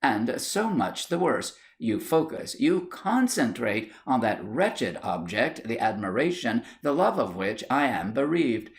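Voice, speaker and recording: A man's voice: medium pitch, very animated, unhurried, gravelly, hardly any pauses. A man voicing an indignant, jealous and melodramatic young Victorian wife in a storybook, haughty and exclamatory. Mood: haughty indignation.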